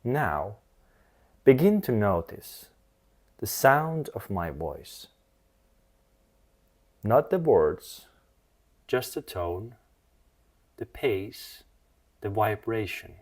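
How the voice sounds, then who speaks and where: A man leading a scripted guided meditation in a soothing, measured manner, speaking in short phrases with long pauses. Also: lowish voice, very animated, darkish tone.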